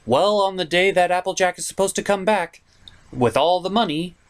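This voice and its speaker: A man hosting a comedic cartoon episode review, sarcastic and swearing freely, jumping between shouts and mutters. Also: high-pitched, moderate pace. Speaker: host.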